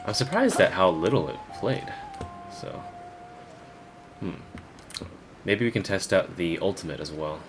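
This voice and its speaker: A man's voice: low voice, expressive, measured pace, pauses often, over music. A man giving casual gameplay commentary as a video creator, thinking aloud with hesitant phrasing. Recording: noticeable background noise.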